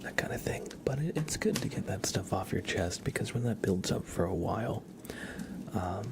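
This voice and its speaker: Male ASMR streamer, low and gravelly, soft half-whispered chat, halting delivery, noticeable background noise. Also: expressive. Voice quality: soft, breathy, often whispered. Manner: slow, halting, rambling. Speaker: ASMR livestream host. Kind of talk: ASMR, casual chat with viewers.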